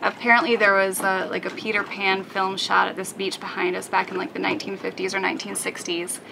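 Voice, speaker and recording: A woman's voice: mid-range voice, flat delivery, a little fast, vocal fry. A woman in her thirties chatting casually and informally to camera, like a travel vlogger. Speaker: vlogger, host. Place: outdoors on boats and waterside decks. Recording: outdoor recording with loud water and boat noise.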